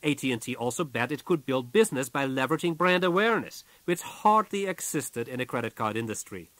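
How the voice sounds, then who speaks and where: A man reading a business audiobook from a script in a measured, businesslike way, as its author. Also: mid-range voice, lively intonation, a bit bright, dry recording.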